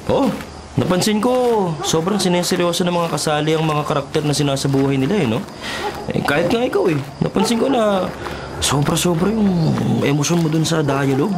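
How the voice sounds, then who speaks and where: A man's voice: on the high side, expressive, brisk, background noise, close to an everyday voice. A man playing a skeptical, self-reliant journalist in his twenties in a radio drama, with a Filipino accent and a conversational, questioning way of talking. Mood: curious, animated. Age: 20s. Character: skeptical 23-year-old male journalist, faithless and self-reliant.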